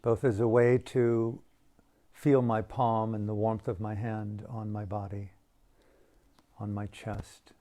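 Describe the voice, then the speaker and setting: A man with a low, mellow voice teaches a guided meditation. He speaks very slowly and deliberately in short phrases, leaving long pauses. His delivery is hushed. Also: level tone.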